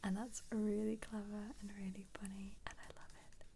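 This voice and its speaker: A British woman whispers softly and breathily, close to the mic. Her voice is mellow and stays within a narrow, even melody as she gives casual, rambling ASMR commentary. A faint hiss of noise sits behind her. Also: medium pitch, normal speed, gravelly. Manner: casual, gentle, rambling asides. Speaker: ASMR creator. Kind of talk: soft-spoken ASMR book flip-through commentary.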